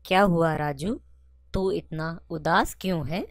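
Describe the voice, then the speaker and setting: A woman's voice: on the low side, measured pace, no echo, studio quality. A woman voicing a helpful village friend in a cartoon fable, speaking in a concerned, advising way.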